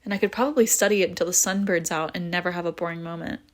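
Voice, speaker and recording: A woman's voice: lowish voice, fairly even intonation, rapid-fire, natural voice. Wry, teasing young vampire woman, acted by a woman. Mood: enthusiastic, teasing. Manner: casual, wry, teasing, swearing freely. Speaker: audio roleplay voice actor. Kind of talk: intimate scripted audio roleplay, conversational.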